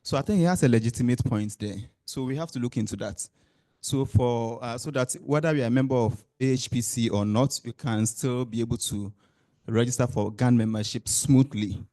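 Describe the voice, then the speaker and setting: Mellow man, dry sound. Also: medium pitch, fairly quick, no room sound. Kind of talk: meeting response.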